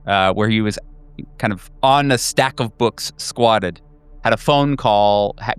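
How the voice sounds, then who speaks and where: Smooth-voiced man as game master. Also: mid-range voice, fairly bright tone, no echo. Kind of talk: casual tabletop game narration. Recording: music under the voice.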